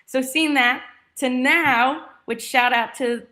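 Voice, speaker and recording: A woman's voice: sits high, takes it slowly, pushed and bright. A young American woman from Texas chatting as an interview guest, enthusiastic and effusive. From Texas, United States. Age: young adult. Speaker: interview guest, former college soccer player. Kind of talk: casual interview chat.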